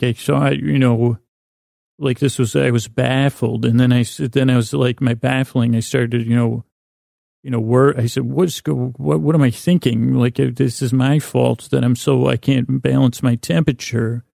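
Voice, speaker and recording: A man hosting a bedtime podcast in a rambling, halting solo monologue, self-deprecating and whimsical, with a gravelly voice, close on the mic. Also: medium pitch, warm tone, no echo. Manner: meandering, halting, repeats and loses his thread. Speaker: podcast host.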